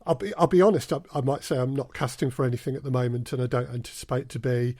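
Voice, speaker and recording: A man's voice: medium pitch, steady tone, quick pace, warm tone, dry recording, clean studio sound. Man chatting as an interview guest. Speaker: podcast guest, audio drama producer and casting director. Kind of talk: casual interview conversation.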